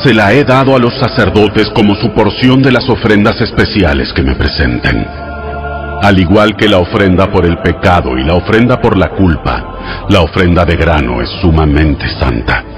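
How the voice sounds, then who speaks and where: An actor playing a solemn, commanding God who gives laws in a measured, instructive way in a dramatized audio Bible. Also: male voice, low voice. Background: music.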